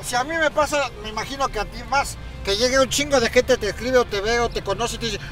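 A man's voice: very high voice, fast, a bit bright, faint music behind. A man with a Mexican accent hosting a crude, joking chat while driving a guest around in an open-top car, swearing and laughing a lot. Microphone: car-mounted camera.

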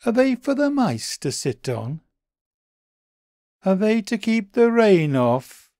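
A man's voice: high voice, big pitch swings, slow, dark tone, no echo, clean studio sound, pitched above the performer's own voice, exaggerated voice. A man voicing a naive, curious young rabbit in a wondering, questioning manner for a storybook. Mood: puzzled.

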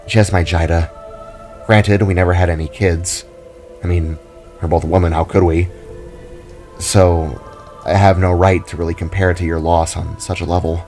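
A man voicing a caring, frank female fantasy creature with a gentle, hesitant manner and quiet sorrow. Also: deep voice, flat delivery, normal speed, long pauses, soft background music. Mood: candid, subdued.

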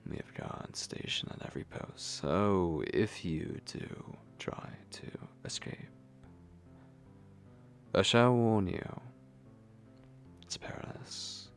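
Man acting a gravelly, whispering possessive vampire lord. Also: sits low, expressive, faint music behind, heavily acted. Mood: possessive, warning. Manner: slow, menacing, condescending endearments. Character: possessive, commanding immortal vampire lord, male. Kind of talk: ASMR roleplay. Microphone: close microphone.

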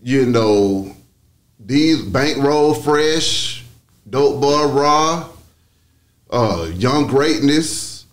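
A man's voice: mid-range voice, animated, very slow. A man around his forties with a Southern US accent, telling stories as a radio DJ in a podcast interview, casual and slangy, on a close studio mic. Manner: casual, slangy, frequent swearing. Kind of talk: animated podcast storytelling.

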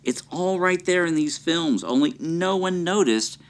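A man's voice: on the high side, expressive, unhurried. A man hosting a scripted documentary-style show, explaining history in an earnest, explanatory way.

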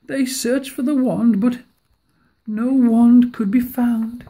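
A woman reading a picture book aloud at home in an expressive, dramatic way, recorded on a phone held over the book. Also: on the high side, slow pace. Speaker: storybook reader.

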